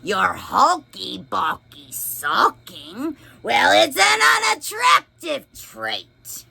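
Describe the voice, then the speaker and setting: A woman voicing a blunt, straight-talking octopus who speaks forcefully, near shouting. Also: on the high side, huge pitch swings, very slow, vocal fry, slightly rough, no room sound, full character voice.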